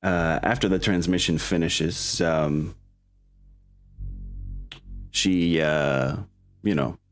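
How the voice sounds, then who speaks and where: Man, game master, low pitch, slow hesitant narration, faint music behind. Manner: halting, hesitant delivery. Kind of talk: narrating an online tabletop game.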